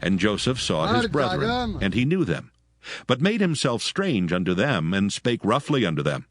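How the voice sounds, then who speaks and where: A man's voice: lowish voice, wildly swooping pitch, moderate pace, no echo, studio quality. A man narrating scripture as a dramatized audio story in a formal storytelling style. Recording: music behind the voice.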